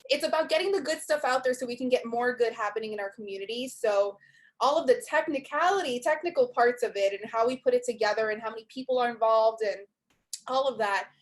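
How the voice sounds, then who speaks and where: A woman's voice: on the high side, quick pace. Upbeat chatty woman in her twenties. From Miami, USA. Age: 20s. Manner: upbeat, chatty, many fillers. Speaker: program director. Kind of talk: webinar presentation. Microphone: video call.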